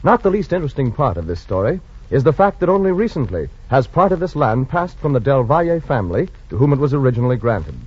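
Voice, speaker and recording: A man's voice: mid-range voice, sing-song, fast talker, slightly rough. A man narrating a scripted story in a dramatic 1940s radio style. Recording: dull vintage radio recording with music.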